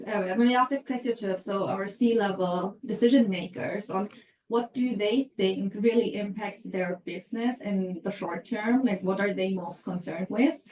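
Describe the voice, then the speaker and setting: A woman's voice: mid-range voice, a little mellow, roomy sound, distant mic, poor audio. A middle-aged woman from Germany with a German accent, presenting an HR webinar in a conversational way, with many fillers.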